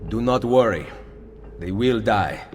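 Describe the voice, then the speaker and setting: An actor playing a composed, resolute Italian assassin in a video game, speaking in a measured, assured way with an Italian accent. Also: male voice, on the low side, slight background noise, close to an everyday voice. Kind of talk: video game dramatic acting. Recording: long reverb, faint music behind.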